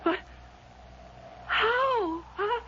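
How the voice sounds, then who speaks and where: A muffled, hissy old radio drama recording carries a scheming, unhinged murderess wracked with guilt. Her very high voice moves slowly and melodramatically, full of anguish and desperation. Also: lively intonation, heavily acted. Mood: stunned. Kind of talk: 1970s radio drama acting.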